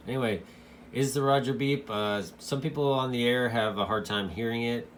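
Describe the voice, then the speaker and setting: A man giving a casual, hands-on product review in a halting way, as a hobbyist. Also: mid-range voice, a little hiss or hum. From United States.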